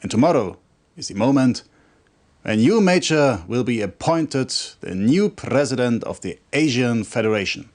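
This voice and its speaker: An actor playing a fervent, conspiratorial military officer in a video game cutscene, speaking in a formal, emphatic, declamatory way. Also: male voice, medium pitch, animated, moderate pace, a little mellow, lightly acted. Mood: triumphant, solemn. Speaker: military officer briefing a commander.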